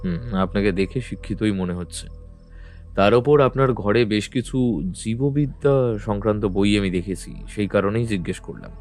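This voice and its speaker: A man playing a calm, probing detective who questions people in a measured, firm way. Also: lowish voice, natural voice. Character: calm probing male detective, middle-aged. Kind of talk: audio drama acting. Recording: faint background music.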